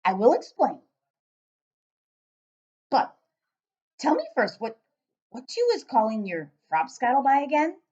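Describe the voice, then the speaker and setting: A big, exuberant old giant from a children's storybook, played by a woman reading aloud. The voice booms and swings widely in pitch, stretching exclamations with great emphasis. The recording is dull and lo-fi. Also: wildly swooping pitch, darkish tone, exaggerated voice. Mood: lecturing. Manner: booming, emphatic, drawn-out exclamations. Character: big friendly old giant, exuberant, mangles his words.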